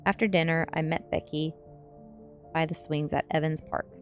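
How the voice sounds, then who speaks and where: A woman narrating a teen story aloud in an expressive audiobook style. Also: low-pitched, moderate pace, soft background music. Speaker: streamer reading a story aloud.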